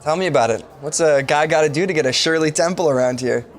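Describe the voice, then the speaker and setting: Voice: medium pitch, fast talker, close to an everyday voice. An actor playing an awkward, eager young man in a sitcom podcast, earnest and naive. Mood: friendly. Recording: noisy party crowd behind.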